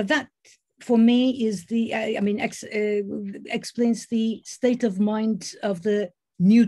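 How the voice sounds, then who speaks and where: A woman speaking as a discussant on an academic panel, measured and halting, with frequent fillers and restarts. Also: mid-range voice, darkish tone.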